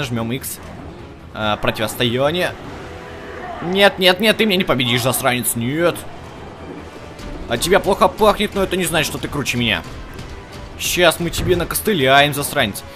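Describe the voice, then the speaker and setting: A man's voice: fairly high pitch, very animated, normal speed, pauses often, pushed and bright, soft background music. A man giving casual let's play commentary as host, chatting to the game's characters and to his viewers. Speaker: gaming video host.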